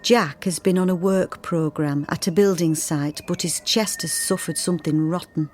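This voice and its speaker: Actress as weary wartime wife, low-pitched. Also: female voice, fairly even intonation, moderate pace, a little mellow, lightly acted. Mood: weary, confiding. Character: long-suffering 1930s-40s working-class wife and mother writing letters. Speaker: actor in radio drama. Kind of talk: dramatised letter reading.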